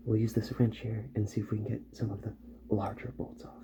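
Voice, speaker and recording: Man playing a hushed, low-voiced repair doctor. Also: completely flat delivery, close to an everyday voice. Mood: calm, focused. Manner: hushed, unhurried, often slipping into whisper. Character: calm, attentive male doctor repairing a robot. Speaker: ASMR roleplayer. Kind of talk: soft-spoken ASMR roleplay. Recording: dull sound, loud background noise.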